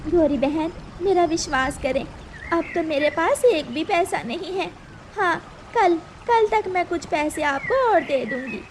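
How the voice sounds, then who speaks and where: A woman voicing a kindly, helpful female bird neighbour in a cartoon story, gentle and concerned. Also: extremely high pitch, lively intonation, a little slow, a little hiss or hum. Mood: worried, apologetic. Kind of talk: Hindi cartoon story voice acting.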